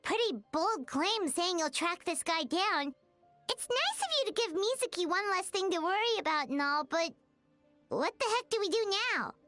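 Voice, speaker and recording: A tiny game fairy with a very high, piercingly bright, childlike squeak. She is bubbly and bossy, exclaiming and chattering with big swoops in pitch. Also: animated, moderate pace, no room sound, faint music behind. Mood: grumbling. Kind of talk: animated video game voice acting.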